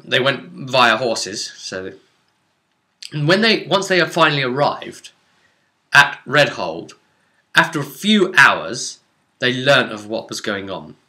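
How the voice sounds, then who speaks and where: Man with a bright, forceful voice, storytelling. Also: mid-range voice. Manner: storytelling with rhetorical asides to the audience. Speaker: game master. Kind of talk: recounting a tabletop fantasy game session.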